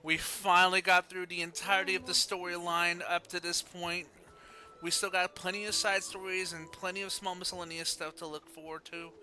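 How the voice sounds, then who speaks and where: A man's voice: high-pitched, flat delivery, normal speed, pushed and bright. A man hosting a casual game livestream, chatting and reading story text aloud. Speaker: video game streamer.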